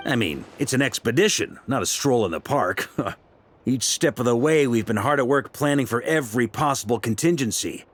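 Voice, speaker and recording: A man playing a confident, seasoned knight commander in a video game, explaining things in a genial, assured, measured way. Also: mid-range voice, lively intonation, faint music behind, close to an everyday voice. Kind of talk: video game dialogue acting.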